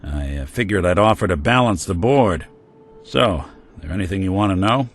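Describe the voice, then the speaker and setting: An actor playing a world-weary male synth detective in a game, talking with a gruff, laconic noir drawl. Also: low-pitched, expressive, moderate pace. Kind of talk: game companion dialogue.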